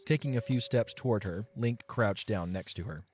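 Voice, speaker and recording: A man's voice: fairly low pitch, normal speed, a little mellow, a little hiss or hum. A man narrating scripted fiction as the storyteller of an audio play.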